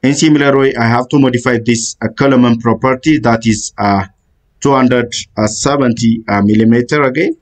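Guy walking thru software steps, lots of uh pauses, mid voice, halting. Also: medium pitch, fairly even intonation. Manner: halting, frequent "uh" fillers, step-by-step instructions. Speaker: software tutorial instructor. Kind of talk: screen-recorded software tutorial. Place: voice-over on screen recording.

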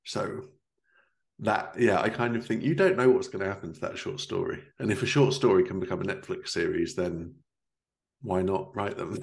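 Man, thirties, deep voice, gravelly fry, informal podcast host, affirming. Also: normal speed. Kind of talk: casual interview chat. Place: living room at home, indoors, video call.

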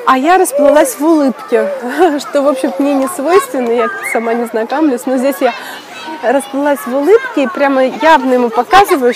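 Woman storyteller, casual and animated, laughs a lot; noisy outdoor crowd in the background. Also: high-pitched. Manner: animated, laughing often, many fillers and restarts. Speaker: video blogger.

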